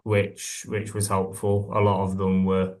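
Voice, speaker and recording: A man's voice: low-pitched, completely flat delivery, a little slow, a little mellow. A young man answering conversationally as an apprentice on a webinar panel. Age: young adult.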